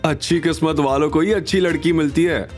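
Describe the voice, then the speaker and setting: A man's voice: fairly high pitch, fairly even intonation, fairly quick, faint music behind, voice pushed up, natural voice. A man playing an arrogant romantic rival, boastful and taunting, in an audio drama. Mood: smug taunting. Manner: smug, boastful.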